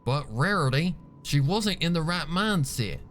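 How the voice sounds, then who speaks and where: A man reads as an earnest mare keeping the peace in a storybook tale. The delivery is plain-spoken and coaxing, with varied pitch at an unhurried pace. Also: expressive, fairly bright tone, soft background music. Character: earnest, forgiving, peacemaking young female pony.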